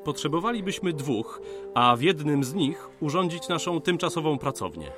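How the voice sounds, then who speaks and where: An actor playing a polite, eager 19th-century surveyor, speaking formally and courteously in a radio drama. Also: male voice, on the high side, big pitch swings, normal speed, over music. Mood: polite, businesslike.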